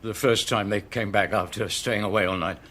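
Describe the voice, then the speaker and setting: Shifty British lodging-house keeper, sharp voice. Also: male voice, lowish voice, steady tone, fairly quick. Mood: evasive. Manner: colloquial, grudging, defensive answers. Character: shifty, grudging male lodging-house keeper, evasive and frightened. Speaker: actor in a radio drama. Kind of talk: British radio detective drama acting.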